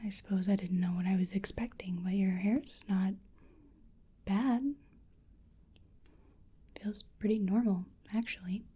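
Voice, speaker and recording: A woman doing a soft-spoken ASMR roleplay as a curious, polite researcher, often dropping into a whisper, with a gentle, musing delivery. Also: mid-range voice, fairly even intonation, unhurried, pauses often. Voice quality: breathy, whispery. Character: curious, polite female researcher examining a creature.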